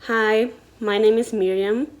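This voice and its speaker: A woman, introducing herself haltingly as a researcher. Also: on the high side, steady tone. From Brazil. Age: 30s.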